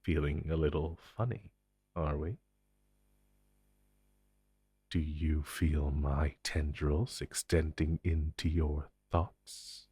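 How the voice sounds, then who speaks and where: A man playing an inhuman monster interrogator in an intense audio roleplay, taunting and condescending, with deliberate theatrical pauses. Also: extremely low pitch, steady tone, dark tone, slightly rough, no room sound, studio quality, exaggerated voice. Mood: menacing, soothing. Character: menacing male monster interrogator, inhuman, smug and possessive.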